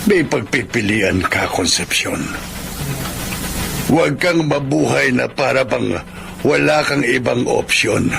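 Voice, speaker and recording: Slow, gravelly, earnest uncle. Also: male voice, medium pitch, lively intonation, noisy background, heavily acted. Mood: earnest pleading. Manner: measured, solemn, counselling. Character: earnest, gently reproving older brother and wise uncle. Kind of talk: radio drama acting. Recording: music bed under the voice.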